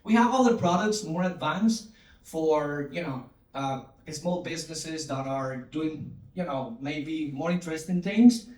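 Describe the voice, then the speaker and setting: A man's voice: a little high, measured pace, darkish tone. Man giving a keynote in an echoey hall. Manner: engaging, asks audience to respond, many fillers and restarts. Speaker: conference speaker, company CTO. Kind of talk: conference keynote talk. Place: large conference hall. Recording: echoey hall, distant mic.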